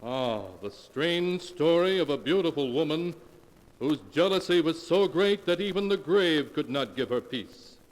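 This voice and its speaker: A man playing an ominous storyteller, narrating 1940s radio horror with theatrical, slow dramatic pauses. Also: fairly high pitch, animated. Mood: grave, suspenseful. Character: ominous male storyteller of dark, strange tales. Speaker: narrator and host of a horror anthology. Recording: hissy 1940s radio recording, long reverb.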